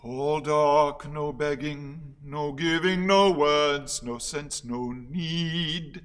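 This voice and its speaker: A man playing a servile, pompous orator in a radio play, declaiming poetic lines in halting, repeated phrases. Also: on the high side, fairly bright tone, clean tone, faint music behind. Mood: halting, solemn. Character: servile, pompous male orator pleading with his lord. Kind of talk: theatrical radio play recitation.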